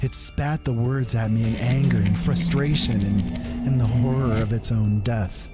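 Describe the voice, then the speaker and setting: A man narrating a dark fantasy horror story in an earnest, dramatic way. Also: medium pitch, a little slow, loud music behind the voice.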